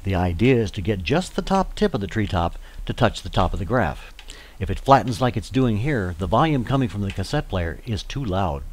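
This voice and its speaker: A man giving scripted, step-by-step instructional narration as a tutorial narrator for volunteers. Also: lowish voice.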